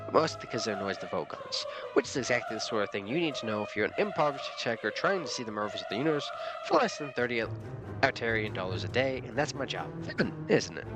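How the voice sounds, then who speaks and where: A man's voice: medium pitch, animated, fast talker, over loud music, lightly acted. A man voicing a breezy, smug male alien hitchhiker who talks offhandedly.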